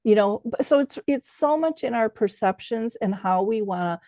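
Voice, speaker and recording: A woman's voice: on the high side, animated, moderate pace, dark tone, no room sound, clean studio sound. A middle-aged woman hosting a conversational interview, telling personal stories and acting out the dialogue in them. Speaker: podcast host.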